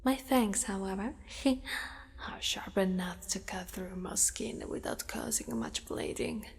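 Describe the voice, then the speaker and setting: A woman playing a possessive, doting female spider monster in an ASMR roleplay, speaking softly and breathily, often in a whisper. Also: mid-range voice, huge pitch swings, heavily acted. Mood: matter-of-fact, eerie. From Italy. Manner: soft, breathy, often whispered, slow and coaxing. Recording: long cavernous reverb.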